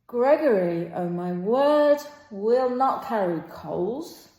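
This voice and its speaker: A woman playing a boastful, quarrelsome male servant in an old stage play, in a halting declaimed delivery that moves between muttering and projecting. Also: a little high, animated, mellow. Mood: swaggering. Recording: roomy, echoing sound.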